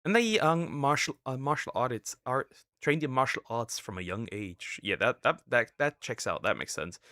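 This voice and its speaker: A man in his twenties giving casual live reaction commentary, excitable and stammering, tossing out quick sarcastic asides. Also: mid-range voice, no echo, clean studio sound. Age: 20s. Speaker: streamer reacting to a video.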